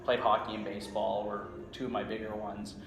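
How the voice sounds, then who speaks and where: A man's voice: lowish voice, flat delivery, a little fast, a bit bright, slight background noise. A Canadian-accented man, a coach being interviewed, explaining things conversationally and often checking in with "right?". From small-town Saskatchewan, Canada. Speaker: strength coach, interview guest. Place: indoor room at a conference. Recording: roomy echo, faint background ambience.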